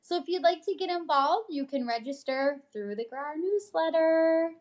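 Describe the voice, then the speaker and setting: A woman's voice: very high-pitched, expressive, moderate pace, a little mellow. A woman co-hosting, reading news items and chatting about them in an upbeat, informal way. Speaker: co-host, realtor reading a newsletter. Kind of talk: casual chat while reading news items.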